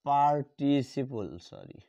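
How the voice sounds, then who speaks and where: A man teaching a grammar lesson, repeating key words slowly as he writes them out. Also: mid-range voice, gravelly, dark tone. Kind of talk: classroom lesson at a board. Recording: dull lo-fi sound.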